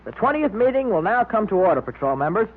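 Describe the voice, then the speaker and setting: A performer playing an eager, earnest boy club leader on a vintage radio show, speaking deliberately. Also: high voice, very animated. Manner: deliberate, reads out codes word by word. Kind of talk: 1930s radio club announcements. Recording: tinny old radio recording.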